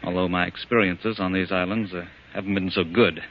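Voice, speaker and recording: An actor playing a practical, wary castaway chemist in an old-time radio drama. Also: male voice, low-pitched, steady tone, fairly quick, close to an everyday voice. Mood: businesslike. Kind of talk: 1940s radio drama acting. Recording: dull, noisy old radio recording.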